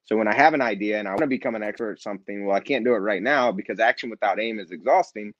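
A man's voice: lowish voice, rapid-fire, dry recording. A man speaking as an interview guest in a conversational, motivational style, emphatic and repeating phrases for effect. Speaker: interview guest, nonprofit founder and CEO. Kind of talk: animated conversational interview, motivational leadership talk. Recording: slightly dull, lo-fi audio.

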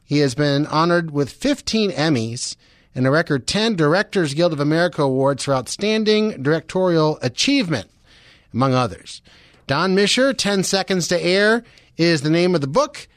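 A male radio host with a fairly high-set voice talks in a conversational interviewing style. The sound is dry and close, with very little room around it. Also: lively intonation, normal speed, dry recording.

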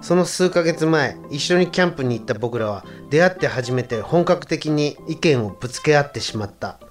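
A man's voice: a little high, animated, normal speed, close to an everyday voice. An actor playing a sensitive young man in a Japanese radio drama, with casual boyish speech, over faint music. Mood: reflective. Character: sensitive young man among old friends, narrating his worries. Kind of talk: Japanese radio drama acting with inner monologue.

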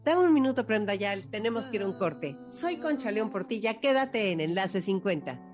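A woman hosting a radio show, speaking in a brisk announcer manner as she goes to a break and comes back. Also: mid-range voice, expressive. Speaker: radio host. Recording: radio segment with music bed.

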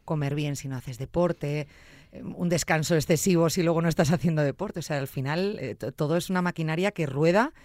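A woman from Zamora, Spain, hosting a podcast interview in an expressive, exclamatory way with wide swings in pitch. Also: fairly quick, dry recording, studio quality. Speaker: podcast host. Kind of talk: casual interview.

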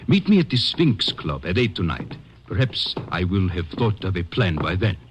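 An actor playing a discouraged former lieutenant of a sheik in a radio drama, speaking formally and earnestly. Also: male voice, low voice, sing-song, heavy background noise. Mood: troubled. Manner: formal, measured, uncontracted speech. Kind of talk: 1950s radio drama acting.

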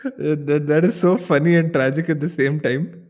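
A man's voice: fairly high pitch, fairly even intonation, normal speed, a little mellow. A man hosts a casual podcast conversation. He comes across as agreeable and empathetic, responding to his guest in an informal way. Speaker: podcast host, interviewer.

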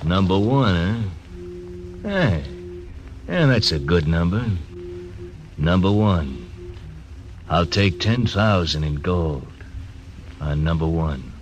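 Brief: a deep, drawling killer who sneers, old radio drama with music behind. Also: male voice, very animated, slow pace, long pauses, heavily acted. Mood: sneering. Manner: laughing, sneering. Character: cocky cold-blooded male gunman. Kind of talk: 1940s radio acting.

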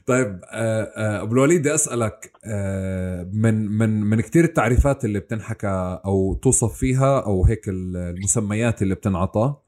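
A man hosting an interview in a relaxed, joking way. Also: fairly low pitch, a little fast. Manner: relaxed, joking, laughs easily. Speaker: interview host. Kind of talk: casual interview chat.

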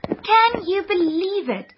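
A woman doing a high prim schoolgirl. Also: animated, slow, lo-fi sound, background noise, heavily acted. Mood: sulky. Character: studious young girl who avoids exercise.

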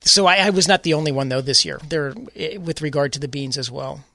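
A middle-aged man from New York co-hosting a garden talk show, explaining in a measured, careful way with small restarts. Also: a little high, sharp tone, dry recording. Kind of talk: casual radio chat.

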